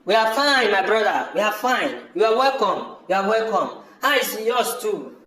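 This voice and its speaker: A man's voice: high voice, sing-song, moderate pace, bright, forceful tone, roomy sound, heavily acted, low-quality recording. A man in an amateur audio drama playing a brother, speaking in an emphatic and repetitive way. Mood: welcoming. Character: welcoming but disillusioned brother, fed up with farming.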